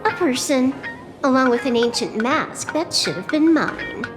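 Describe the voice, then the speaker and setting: A woman voicing a cool, wry young hacker outlaw in a video game, cheeky and unbothered with deadpan wit. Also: high voice, measured pace, in a large hall, over music. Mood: dry. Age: young adult.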